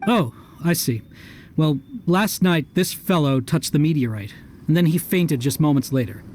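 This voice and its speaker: A man's voice: fairly high pitch, lively intonation, normal speed, darkish tone, a little hiss or hum, close to an everyday voice. A man voicing an earnest, polite townsperson with a worried, cautioning manner in an anime video game. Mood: earnest warning.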